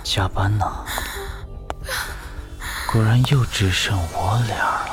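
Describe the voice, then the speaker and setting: A man acting a menacing middle-aged male security guard in an audio thriller. His voice is low and full of creepy insinuation, like a stalker who has his target alone. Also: fairly even intonation, slow pace, roomy sound, music in the background. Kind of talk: suspense audio drama acting.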